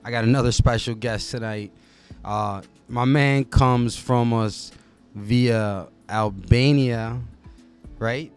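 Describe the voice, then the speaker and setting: A man's voice: medium pitch, fairly even intonation, slow, faint music behind. Upbeat host man, slangy. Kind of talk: casual interview. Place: studio.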